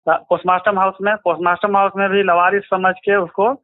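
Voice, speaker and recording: बिहारी लहजे वाला आदमी, पतली फ़ोन आवाज़. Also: male voice, high-pitched, flat delivery, fairly quick, no room sound. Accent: Bihari. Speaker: interviewee, relative of a crime victim. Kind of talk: recounting events in a phone interview. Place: phone call. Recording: tinny, choppy phone line.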